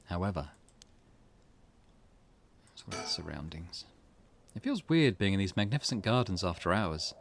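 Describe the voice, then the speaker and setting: A man's voice: deep voice, very animated, moderate pace, creaky, pauses often, noisy background. A man doing let's play narration, reading game text aloud and muttering his own reactions between passages. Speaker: gaming video host.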